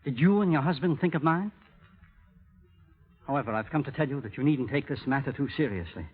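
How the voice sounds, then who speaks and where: A scornful blackmailer from 1930s radio drama, on a dull, lo-fi recording. His husky voice swings widely in pitch as he taunts and threatens with theatrical deliberation. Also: lively intonation, a little fast, soft background music. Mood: cold, bitter. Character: bitter, menacing male blackmailer, coldly calculating and scornful.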